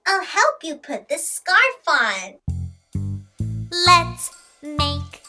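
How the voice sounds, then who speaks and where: Very high-pitched, animated woman teaching kids. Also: very slow, loud music behind the voice. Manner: sing-song, repeats words for learners. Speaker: children's storytime host and English teacher. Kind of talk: playful children's English lesson, exaggerated storytelling.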